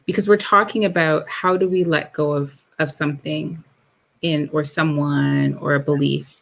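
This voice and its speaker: A woman's voice: sits low, steady tone, normal speed, gravelly, a little hiss or hum. A woman who works as a declutter coach, a guest who speaks in a reflective way and searches for her words. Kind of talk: casual interview talk.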